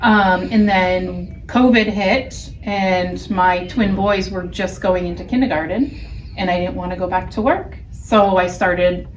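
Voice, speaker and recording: A woman's voice: on the low side, a little slow. A middle-aged woman from New Hampshire with an American accent, a teacher answering interview questions casually. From New Hampshire, United States. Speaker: elementary school teacher being interviewed. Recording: roomy, distant mic, faint background noise.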